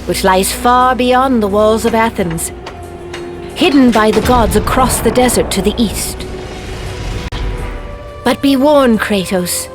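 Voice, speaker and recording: A woman playing a grave, foreboding oracle in a video game, with an ominous, deliberate delivery. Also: medium pitch. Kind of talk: dramatic video game voice acting. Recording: music behind the voice. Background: game score.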